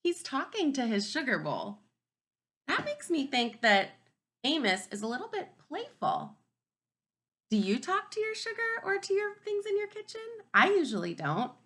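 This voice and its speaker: A woman teaching kindergarten through a read-aloud, thinking aloud and posing simple questions to children in an expressive, emphatic way. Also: high-pitched, moderate pace. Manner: expressive, emphatic storybook delivery.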